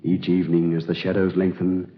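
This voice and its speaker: Actor as a devoted Egyptian slave, very deep voice, solemn, dull old radio sound. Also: male voice, monotone, brisk, a little hiss or hum, natural voice. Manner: solemn, earnest. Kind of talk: 1930s radio drama.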